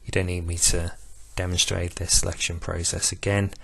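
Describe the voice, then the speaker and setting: A man walking through betting software in a tutorial, speaking in a self-described monotone with frequent "uh" pauses. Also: low-pitched, vocal fry, slightly rough. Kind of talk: screen-recorded tutorial walkthrough.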